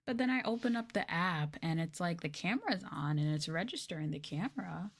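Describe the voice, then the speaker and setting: Woman, lowish voice, casual livestream chat, informal rambling delivery. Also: animated, fairly quick. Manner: informal, rambling, uses "like" and "y'all". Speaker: VTuber livestreamer. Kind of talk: casual soft-spoken livestream chat to viewers.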